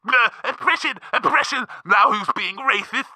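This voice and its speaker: Very shrill, whiny slug bigot. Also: male voice, moderate pace, bright, forceful tone, dry recording, heavily acted. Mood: whiny indignation. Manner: whiny, indignant, grandiose. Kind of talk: absurd radio comedy acting.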